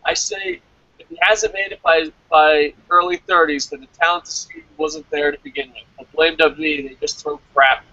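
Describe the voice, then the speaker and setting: A man with a high, very lively voice talks casually as co-host of a review show. He is blunt and swears freely. The audio is dry and a little dull. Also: normal speed, gravelly, dry recording. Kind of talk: casual talk, reading and answering comments.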